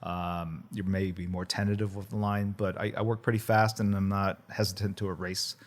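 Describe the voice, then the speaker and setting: Man, 50s, Korean first language; relaxed, reflective podcast guest, lowish voice, easy chuckle. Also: moderate pace. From United States, Midwest. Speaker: guest, comic artist. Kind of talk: casual interview chat.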